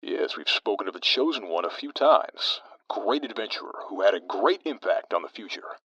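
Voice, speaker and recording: A man playing a courtly, erudite radio co-host in an audio drama, with formal, measured wording. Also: fairly low pitch, expressive, fairly bright tone, husky, dry recording, dull audio. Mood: grave, admiring.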